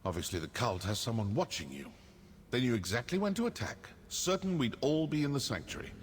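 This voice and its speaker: An actor playing a suave, vengeful assassin in a video game, speaking in a measured, deliberate way. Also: male voice, mid-range voice, big pitch swings, breathy edge. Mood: suspicious. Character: suave, vengeful male assassin hunting a cult.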